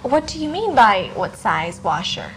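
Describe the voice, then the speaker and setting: A young woman with a slightly high voice asks plain questions in a scripted lesson scene. The recording has a faint hiss behind it. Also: very animated, measured pace, a bit bright. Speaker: customer in a lesson dialogue. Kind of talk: scripted classroom dialogue.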